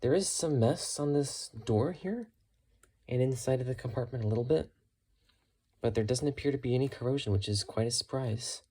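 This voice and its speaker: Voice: medium pitch, normal speed, warm tone, a little hiss or hum. A hobbyist vlogger giving a casual, unscripted show-and-tell in a matter-of-fact way, musing tentatively while talking. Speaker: hobbyist vlogger showing thrift-store radio finds.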